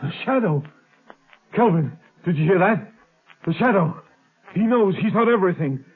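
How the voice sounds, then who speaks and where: A man's voice: high voice, lively intonation, normal speed, slightly rough. An actor playing a nervous, frightened male accomplice who pleads in a panic in a 1930s radio drama. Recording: tinny old radio broadcast.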